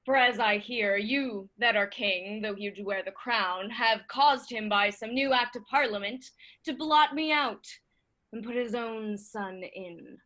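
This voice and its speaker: A woman's voice: fairly high pitch, measured pace, sharp tone. A woman playing a proud, defiant young male claimant to a throne in a Shakespearean verse reading, with a haughty, declamatory delivery. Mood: haughty challenge. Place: remote reading over a video call.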